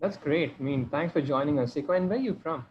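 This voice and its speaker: A man's voice: on the high side, fast talker. A man hosting an online meetup and welcoming each guest in an encouraging way. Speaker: host. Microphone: video call. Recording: dull sound with faint noise.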